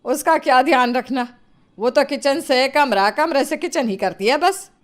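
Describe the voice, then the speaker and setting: Here is an actress as an exasperated middle-aged mother-in-law in an audio moral story. Her voice is fairly high. She scolds and nags theatrically. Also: measured pace. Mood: nagging. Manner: nagging, theatrical. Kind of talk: acted Hindi moral story.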